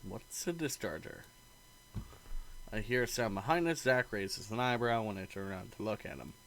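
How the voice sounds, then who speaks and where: A man's voice: lowish voice, moderate pace, a bit bright, slight background noise. A man reading a visual novel aloud in a let's play, narrating the story and acting out the dialogue expressively.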